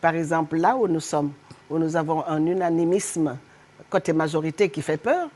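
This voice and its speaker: A middle-aged woman from Senegal speaks as a political guest on a TV studio set, her voice notably low for a woman. She talks in an animated, emphatic way, often stopping to hesitate before pressing her point. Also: moderate pace. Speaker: guest politician, party honorary president. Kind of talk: political TV interview.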